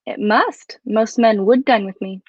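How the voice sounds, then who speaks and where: A woman's voice: fairly high pitch, unhurried, no room sound, highs cut off. A woman acting a clever, bold, flirtatious adventuress in a radio drama, with a poised, formal and coy way of speaking. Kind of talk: adaptation of a 1940s radio drama.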